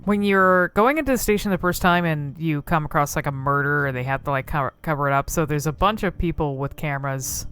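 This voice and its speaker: A woman's voice: low-pitched, brisk, hardly any pauses, a bit bright, smooth. A woman giving casual game commentary, rambling and thinking aloud as she explores cutscenes.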